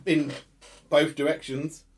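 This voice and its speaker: A man narrating a tabletop horror game as game master, improvising in a conversational, halting way and thinking aloud. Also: on the high side, flat delivery.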